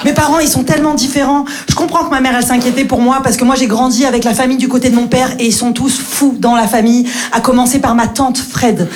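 A young woman doing stand-up, lively storytelling that acts out the dialogue. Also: high voice, quick pace, few pauses, a little hiss or hum. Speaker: stand-up comedian.

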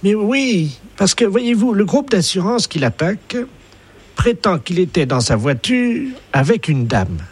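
Guy doing a prissy older lady on a prank call, swoops up and down, a bit husky. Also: high-pitched, big pitch swings, measured pace, exaggerated voice. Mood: prim. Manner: prim, earnest and naive. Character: prim older woman, wife of an academician. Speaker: prank caller.

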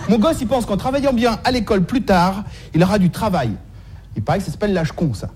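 A man's voice: high voice, animated, fast, darkish tone, slight background noise. A man doing a stand-up comedy monologue in slangy, streetwise, mock-naive style, repeating phrases as he goes, on a live stage recording.

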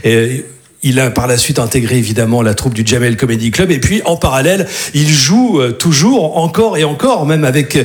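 A man hosting a comedy show, introducing the next comedian in a halting way with many pauses and fillers. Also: mid-range voice, animated. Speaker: show host.

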